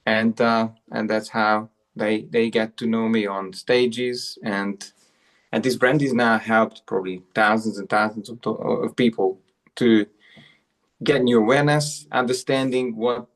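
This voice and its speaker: A man's voice: lowish voice, fairly even intonation, measured pace. A man in his forties from Hungary, a coach telling his life story as an interview guest, with frequent fillers and repeated words. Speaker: guest, self-worth coach.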